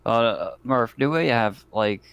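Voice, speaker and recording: A man's voice: medium pitch, animated, slow pace, vocal fry, a bit bright, smooth, no echo. A man talking casually as a player in a tabletop roleplaying game, working through options.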